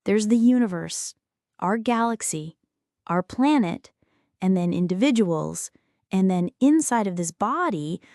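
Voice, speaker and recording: A woman reading a scripted audiobook in a measured, clearly enunciated, explanatory way. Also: medium pitch, animated, a little mellow, no echo, studio quality. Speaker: audiobook narrator.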